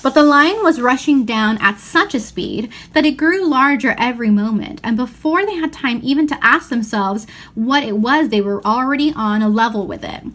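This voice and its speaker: A woman narrates a children's storybook in a fairly high voice. She reads expressively, shaping each phrase with deliberate care. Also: animated, fairly bright tone. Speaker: storyteller reading aloud.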